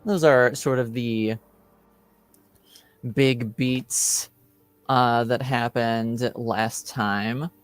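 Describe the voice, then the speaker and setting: Male game master, very slow halting phrasing, clean resonant voice, dry close sound, casual recap style. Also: medium pitch, fairly even intonation, smooth, no echo. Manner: measured, halting, pausing between phrases.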